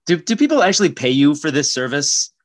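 A man hosting a podcast in casual comic banter with a deadpan manner. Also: on the high side, a little fast, dry recording, studio quality. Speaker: podcast host.

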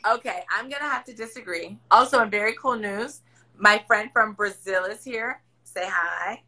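A woman hosting a podcast, with a raspy voice, talking loudly and emphatically in casual chat. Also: medium pitch, normal speed. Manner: loud, emphatic, encouraging. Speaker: podcast host. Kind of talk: casual chat over a video call.

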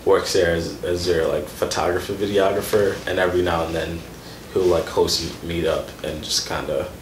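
Deep-voiced young man in an echoey room. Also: steady tone, moderate pace. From born in Sudan, raised in Kenya. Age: 20s. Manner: informal, slangy. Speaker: interview guest. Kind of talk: casual conversational interview, personal storytelling. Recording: echoey room with some background noise.

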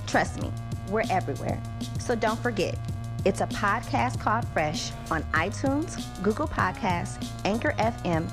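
A woman's voice: medium pitch, animated, a little slow. Brash woman reading a promo over music. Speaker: podcast promo voice. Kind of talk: casual, cheeky advertisement read.

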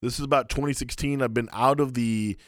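A man's voice: a little fast, no echo, studio quality. A man in his thirties, a podcast co-host, telling a story in casual chat and acting out the people he quotes. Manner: animated storyteller, acts out quoted people in a higher voice.

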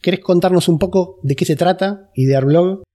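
A man with an Argentine Spanish accent hosting an interview, asking questions in a relaxed, slightly hesitant way. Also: sits high, moderate pace. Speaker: podcast host, interviewer. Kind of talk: casual interview.

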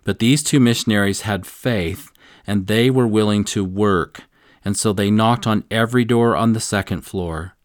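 A man with a fairly low voice teaches a devotional scripture lesson in an earnest, measured way. He sits close to the microphone in a dry, dead-sounding space and slows down to let important points land. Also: dry recording. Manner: earnest, slows down to stress key lines. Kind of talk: devotional teaching with personal stories.

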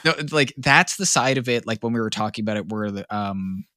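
Low bright-toned rambling man. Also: a little fast, dry recording, studio quality. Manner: rambling, searching for words, many fillers. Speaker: podcast co-host. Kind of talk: casual podcast chat.

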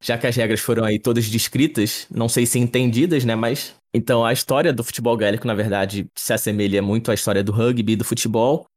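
A young man co-hosting a podcast, explaining sports history in a relaxed, chatty way, often adding little tag questions. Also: medium pitch, quick pace, hardly any pauses. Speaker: podcast co-host. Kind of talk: casual explanatory chat.

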